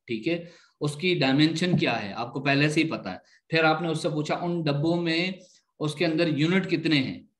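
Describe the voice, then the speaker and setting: A man with a fairly high voice teaches an online class. He explains things step by step, repeats his points and keeps checking that everyone follows. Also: fairly bright tone. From Pakistan. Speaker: instructor.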